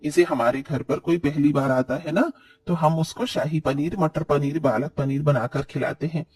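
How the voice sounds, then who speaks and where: A man voicing a fussy, entitled older aunt in an animated family story, chatty and bossy. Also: fairly high pitch, normal speed, slightly rough, far from the mic. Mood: fussy, demanding. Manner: bossy, chatty, fault-finding.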